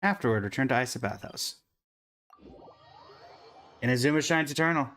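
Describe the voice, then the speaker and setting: A man's voice: medium pitch, animated, moderate pace, a bit bright. A man streaming a game with casual, musing commentary, thinking aloud as he plays.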